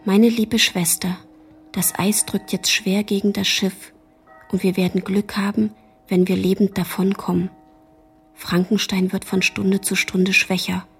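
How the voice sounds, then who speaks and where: A woman playing a sombre letter-writer on an icebound ship in a German radio drama, with a husky, breathy voice. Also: medium pitch, level tone, unhurried, soft background music.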